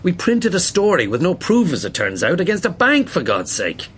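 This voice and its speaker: An Irish actor playing a pressured newspaper boss in a radio play, blunt, firm and emphatic. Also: male voice, high-pitched, wildly swooping pitch, moderate pace, a bit bright, a little hiss or hum, full character voice. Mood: firm, regretful. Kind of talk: naturalistic radio drama acting.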